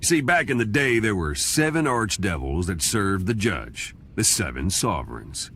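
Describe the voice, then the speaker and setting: A man's voice: lowish voice, sing-song, a little slow, a little hiss or hum, full character voice. A man playing a wry, folksy demonic narrator, telling an infernal legend for a video game. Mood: wry, amused. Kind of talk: scripted storytelling narration. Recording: loud music behind the voice. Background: loud music.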